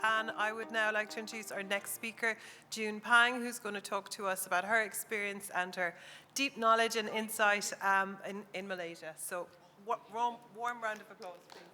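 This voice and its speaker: A woman's voice: mid-range voice, fairly even intonation, moderate pace, sharp tone. A woman speaking as a conference moderator, introducing a speaker. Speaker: event moderator. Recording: reverberant hall, faint noise.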